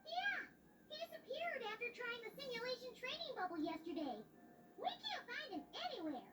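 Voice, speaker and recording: A woman voicing a tiny, blunt, excitable childlike fairy companion in a video game, with a squeaky voice. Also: normal speed, recorded from a distance, very noisy. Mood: curious, concerned. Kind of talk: animated video game dialogue.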